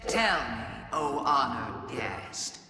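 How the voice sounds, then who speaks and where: A sly, regal witch goddess, a video game villain. She taunts slowly and theatrically with swooping pitch. The voice rings in long cavernous reverb over noisy ambience. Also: sing-song, heavily acted. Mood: taunting. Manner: slow, theatrical, mock-courteous.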